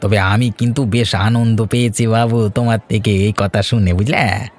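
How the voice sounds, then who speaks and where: A man's voice: on the low side, steady tone, normal speed, close to an everyday voice. A man playing a mean-spirited, smug village man in a cartoon, who mockingly delights in others' misfortune. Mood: gloating. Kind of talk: cartoon dialogue acting.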